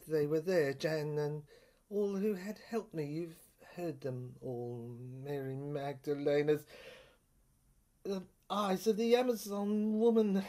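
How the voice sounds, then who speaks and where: A woman performing a dramatic literary monologue in a theatrical, intense and halting way, in broken phrases. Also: mid-range voice, a bit bright. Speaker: performer reading a serial screenplay.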